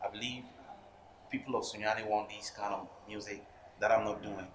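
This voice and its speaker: A young Ghanaian man in his twenties with a Ghanaian English accent and a lowish voice, answering an interviewer earnestly and often restarting his sentences. He is recorded in an echoey room with clear background noise. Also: fairly even intonation, a little fast. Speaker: rapper.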